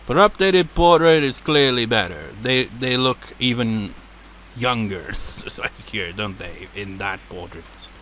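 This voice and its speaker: Animated, rambling let's play host. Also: male voice, slow, slight background noise. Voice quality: naturally deep voice. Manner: rambling, laughing and swearing. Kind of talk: casual gameplay commentary.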